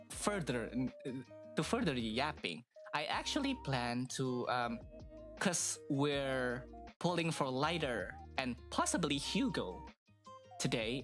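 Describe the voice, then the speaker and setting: Woman streamer, very low voice, stuffy nose, slow and rambling, faint music behind. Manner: rambling, hesitant, many fillers. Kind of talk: casual live-stream chat.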